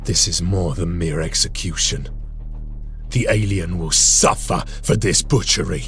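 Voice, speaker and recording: Deep, grave space marine veteran. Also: male voice, a bit bright, soft background music. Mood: vengeful. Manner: formal, solemn, measured speech. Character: grave, vengeful veteran male space marine commander, guilt-weighed. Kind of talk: dramatic video game cutscene acting.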